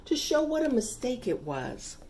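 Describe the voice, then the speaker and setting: A middle-aged woman reading a children's picture book aloud with deliberate, emphatic, dramatic phrasing. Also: a little high, very animated, warm tone. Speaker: read-aloud storyteller.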